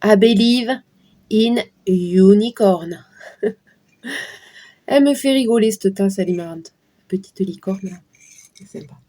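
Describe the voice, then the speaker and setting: A hoarse, middle-aged woman talking her viewers through a tarot spread in a loose, chatty way. Her voice sits at an ordinary pitch, and she often drifts into asides while she shuffles. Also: slow pace, long pauses, dark tone. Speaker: card reader. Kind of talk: casual card-reading livestream talk.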